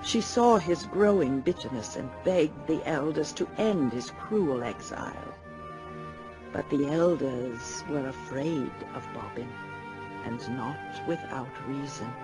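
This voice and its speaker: A man narrating a fantasy audio drama as a solemn storyteller, measured and portentous. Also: medium pitch, expressive, low-quality recording. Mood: ominous. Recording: music under the voice.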